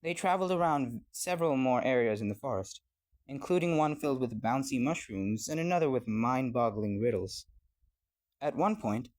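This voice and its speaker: A man reading a scripted fairy tale as a storyteller, with an expressive storybook delivery. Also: mid-range voice.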